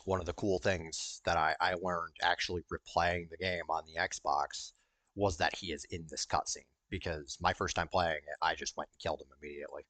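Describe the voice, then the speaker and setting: Low-voiced man doing casual game commentary. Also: fairly even intonation, normal speed, pushed and bright, dry recording. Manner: rambling, hesitant, sweary. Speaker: gaming YouTuber.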